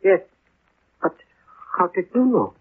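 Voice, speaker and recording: A man playing an excitable, talkative European apartment-house neighbour in a 1950s radio drama, with a raspy voice. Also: high-pitched, slow, exaggerated voice. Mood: agitated, gossipy. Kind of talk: 1950s radio character acting. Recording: thin, narrow-band vintage 1950s radio.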